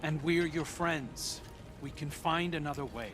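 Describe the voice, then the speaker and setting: An actor playing a loyal friend and teammate in a dramatic video game, earnest and urgent. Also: male voice, a little high, unhurried, close to an everyday voice. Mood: pleading. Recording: clear ambient noise behind.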